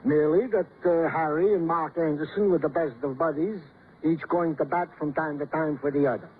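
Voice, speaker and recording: A man's voice: on the high side, brisk, narrow, tinny audio, close to an everyday voice. A man playing a fussy, wordy police sergeant, pedantic and formal in old-time radio style. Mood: fussy, officious. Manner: formal, flowery, pedantic report delivery. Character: fussy, precise, wordy male police sergeant reading notes. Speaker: actor in a radio detective drama. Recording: thin old radio broadcast with faint noise.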